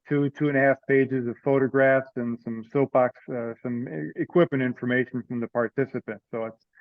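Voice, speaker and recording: Male meeting presenter, halting and informal, dry thin teleconference line. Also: medium pitch, no echo, highs cut off. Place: online conference call. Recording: limited-band teleconference audio.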